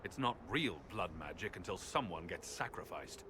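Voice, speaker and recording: A man's voice: low-pitched, lively intonation, moderate pace, background noise. An actor voicing a cynical, hardened male companion who speaks in a dry, grave manner. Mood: grim.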